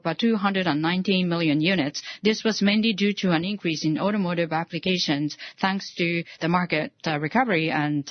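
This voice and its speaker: Halting woman presenter on dull conference audio. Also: lowish voice, no echo. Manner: halting delivery with frequent hesitations. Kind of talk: formal financial results presentation.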